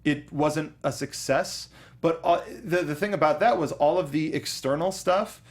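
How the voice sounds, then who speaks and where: A man's voice: on the high side, normal speed. A young man co-hosting a podcast in casual chat, enthusiastic and often stumbling over restarts as he talks about something he loves. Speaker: podcast co-host.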